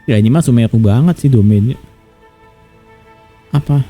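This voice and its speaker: A man's voice: medium pitch, a little fast, dark tone, soft background music. A man with an Indonesian accent giving casual live commentary as he plays a video game. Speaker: game streamer.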